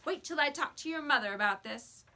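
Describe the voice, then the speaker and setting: A woman's voice: sits high, expressive, a little fast, sharp tone, pitched above the performer's own voice, full character voice. A woman voicing a scolding busybody in a storybook, accusing and triumphant. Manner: accusing, gloating.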